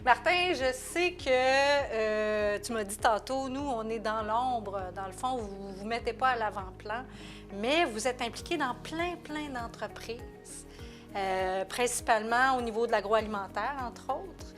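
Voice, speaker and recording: A woman's voice: fairly high pitch, unhurried, fairly bright tone, soft background music. A woman with a Québécois French accent hosting a TV interview, chatty and full of hesitations and restarts. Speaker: TV host. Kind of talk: casual interview.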